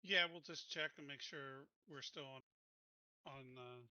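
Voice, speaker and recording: A man's voice: mid-range voice, measured pace, sharp tone, clear, resonant tone, dry recording, lo-fi sound. A man chatting casually as a player in a tabletop roleplaying game.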